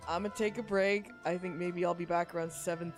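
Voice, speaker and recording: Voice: medium pitch, steady tone, fairly quick. Chatty streamer with music behind. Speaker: podcast host and streamer. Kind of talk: casual chatty livestream talk.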